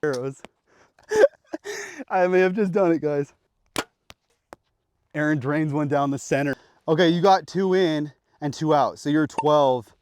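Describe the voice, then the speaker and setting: A man's voice: a little high, a little slow, long pauses, a little mellow, a little hiss or hum. A young man hosting an archery vlog outdoors on public land, hyped and slangy, talking to the camera. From Pacific Northwest of the US. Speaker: vlogger. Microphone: action camera.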